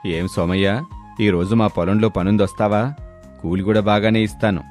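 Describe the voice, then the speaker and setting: A man's voice: fairly low pitch, fairly even intonation, moderate pace, darkish tone, soft background music, lightly acted. Blunt hardworking villager played by a man. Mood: inviting. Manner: blunt, reproachful. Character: hardworking village men scolding a lazy neighbour. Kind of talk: storybook character voice.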